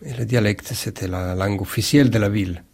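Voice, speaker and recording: A man from Naples whose first language is Neapolitan, speaking with an Italian accent as a writer in an interview, halting and searching for words with many repeated starts. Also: fairly low pitch, vocal fry, darkish tone, slightly rough. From Naples, Italy. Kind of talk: reflective interview answer.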